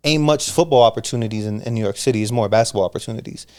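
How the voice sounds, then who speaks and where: A man's voice: mid-range voice, a little mellow, clean tone. A man in his thirties, from Pennsylvania, talking as a podcast guest in a casual, slangy storytelling way. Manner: fast, slangy storytelling with frequent restarts. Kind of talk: casual conversation.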